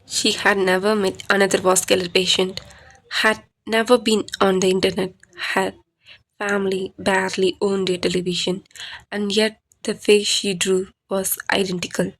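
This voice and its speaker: Female horror narrator. Mid-pitched, evenly measured, deliberate and dramatic storytelling. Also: little pitch movement, fairly bright tone, rough recording.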